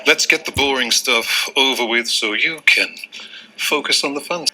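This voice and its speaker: An actor playing a calm man who gently urges tradition in a period drama. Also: medium pitch, moderate pace, close to an everyday voice, poor audio. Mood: coaxing.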